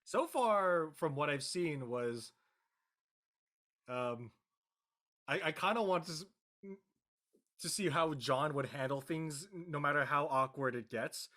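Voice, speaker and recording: A man talking off the cuff as an actor in an interview, halting and searching for words. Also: a little high, expressive, sharp tone. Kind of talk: unscripted interview talk.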